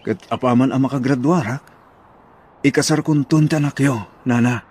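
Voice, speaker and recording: A humble young Filipino student in love played by a man in an audio drama. He speaks hesitantly and politely in a husky, mid-pitched voice. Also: normal speed, a little mellow, close to an everyday voice. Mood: earnest. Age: young adult. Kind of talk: Ilocano audio drama acting.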